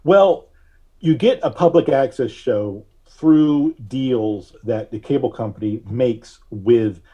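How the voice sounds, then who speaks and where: Man, podcast guest, very soft-edged relaxed tone, medium pitch, hesitant deliberate delivery, casual recollection. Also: highs cut off. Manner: halting, deliberate, with frequent long hesitations.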